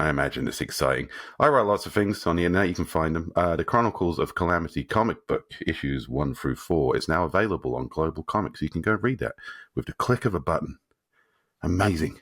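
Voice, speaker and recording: A hungover man with a slightly croaky voice, chatting casually as a podcast panelist. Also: low-pitched, a bit bright. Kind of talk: casual chat.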